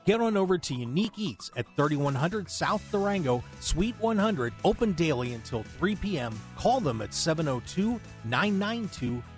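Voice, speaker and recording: A man hosting a radio show in a casual sports-talk style. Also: on the high side. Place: radio studio.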